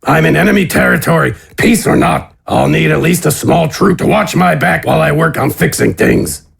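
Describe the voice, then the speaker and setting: A man's voice: on the high side, moderate pace, gravelly, slightly rough, heavily acted. A man playing a weary, plain-spoken soldier captain with a frank, easygoing manner in a fantasy audio story. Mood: frank, skeptical.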